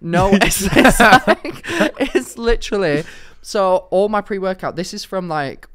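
A British man in his twenties co-hosting a podcast in casual chat, expressive and given to exaggeration, on a close studio microphone. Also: high voice, measured pace. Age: 20s. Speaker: podcast co-host. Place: small office-style studio, indoors. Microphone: close podcast microphone on stand.

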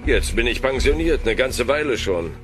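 A man as a grumbling retired cop, medium pitch. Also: animated, moderate pace, fairly bright tone, soft background music, natural voice. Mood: aggrieved, wary. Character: grumbling retired male cop turned public guard. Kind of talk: audio drama acting.

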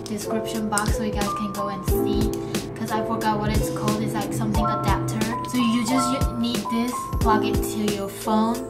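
A young woman giving casual tips to camera in simple, informal wording with non-native phrasing. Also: on the high side. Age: young adult. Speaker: student pilot vlogger. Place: small room indoors. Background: background music.

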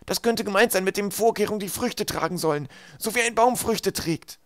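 A man voicing a weakened, clever teenage girl in a storybook, earnest, breathy and strained. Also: sits high, brisk, no room sound, clean studio sound, voice pushed up, close to an everyday voice. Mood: reasoning, strained. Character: poisoned teenage girl, clever and protective elder sister.

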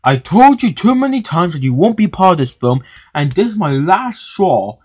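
A man's voice: sits high, big pitch swings, moderate pace, breathy edge, voice pushed up, heavily acted. A man voicing a furious, bossy film manager who scolds and gets flustered in a children's story. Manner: scolding, exclamatory.

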